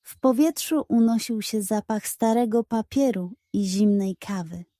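Slow, mellow woman storyteller. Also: medium pitch, dry recording, studio quality. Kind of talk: scripted narration of a children's detective story.

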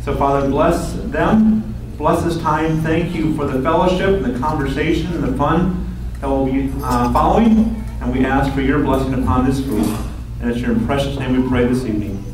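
A man saying an earnest prayer with pauses and fillers, holding a handheld mic in a large banquet hall. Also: medium pitch. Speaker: relative giving a blessing. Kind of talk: spoken prayer. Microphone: handheld mic, far camera. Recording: echoey hall from far off, noisy.

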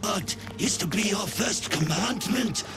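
An actor playing a fervent fish-creature priest in a fantasy game, grandly and reverently proclaiming devotion to a bloody god. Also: male voice, high-pitched, animated, measured pace, music in the background. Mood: fawning awe. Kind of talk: fantasy video game acting.

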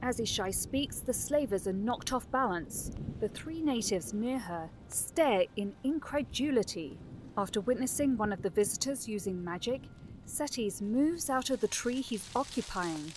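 A woman's voice: a little high, lively intonation, moderate pace, a little mellow, slight background noise, low-quality recording. A woman giving scripted narration for a fantasy audio drama.

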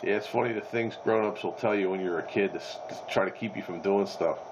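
A middle-aged American man with a low voice chats casually over CB radio. The sound is dull and covered in very loud static. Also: fast. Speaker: CB radio operator chatting on air. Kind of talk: casual chat.

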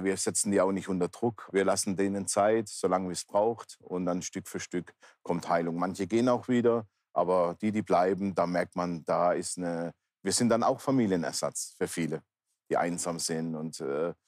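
Deep-voiced man in his fifties. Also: fairly even intonation, normal speed, darkish tone, no room sound, clean studio sound. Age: 50s. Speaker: talk show guest, pastor. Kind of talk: personal testimony in an interview. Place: large indoor bar hall, seated interview.

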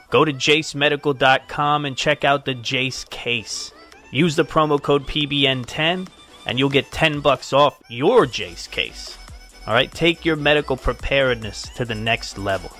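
A man's voice: mid-range voice, measured pace, bright, forceful tone, soft background music. A man delivering a promotional ad read as an announcer. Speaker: advertisement announcer.

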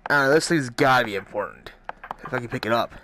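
A man's voice: medium pitch, a little fast, pushed and bright. A man giving casual let's-play commentary, puzzled and often muttering to himself. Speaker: video game streamer, host. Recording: faint noise, keyboard and mouse clicks.

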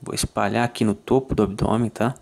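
A Brazilian man calmly walking through a fly tying tutorial, checking in with listeners after each step. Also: lowish voice, brisk, vocal fry. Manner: calm, methodical, ends phrases with "tá?".

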